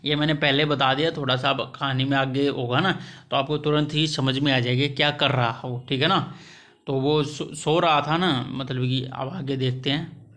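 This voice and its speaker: A man teaching English through a story, translating each phrase into Hindi with halting, repetitive explanations. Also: mid-range voice, steady tone, fairly bright tone.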